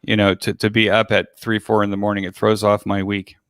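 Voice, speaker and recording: An older man speaking casually as an interview guest, in a halting way with repeated words, describing his work as an organizer. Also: lowish voice, little pitch movement, a bit bright, clean tone, no room sound, clean studio sound. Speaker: guest, virtual expo organizer.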